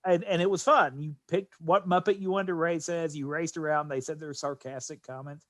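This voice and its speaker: A man's voice: on the high side, fairly even intonation, a little fast, a bit bright, dry recording, studio quality. A man co-hosting a podcast, chatting casually about games and films in an enthusiastic, emphatic way. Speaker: podcast co-host.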